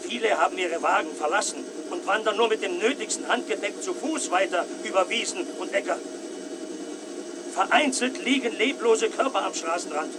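A man's voice: high-pitched, lightly acted. An actor playing an appalled, urgent male traffic reporter giving a live report in a radio drama. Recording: clear aircraft noise behind.

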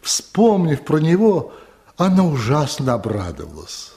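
A man narrating a literary story for a radio play, telling it expressively with dramatic pauses. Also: a little high, wildly swooping pitch, creaky, dark tone, husky.

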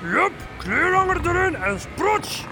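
An actor playing a pompous, vengeful husband who rants and curses in a melodramatic radio horror comedy. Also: male voice, very high voice, big pitch swings, takes it slowly, over music, heavily acted. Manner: ranting, melodramatic, coarse dialect. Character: vengeful, pompous cuckolded husband, raging and cursing.

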